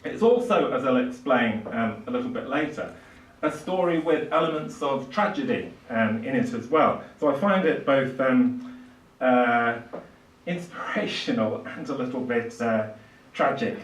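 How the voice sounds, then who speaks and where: Older male lecturer, halting and thoughtful phrasing, mid-pitched, heard at a distance in a reverberant small room. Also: animated. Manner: hesitant, halting delivery with frequent pauses. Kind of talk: public lecture, lightly improvised. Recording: echoey room, distant microphone.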